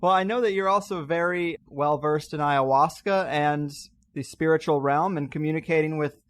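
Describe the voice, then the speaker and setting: Male podcast interviewer. Fairly high voice, casual conversational delivery, close and dry. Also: normal speed, clear, resonant tone, no room sound.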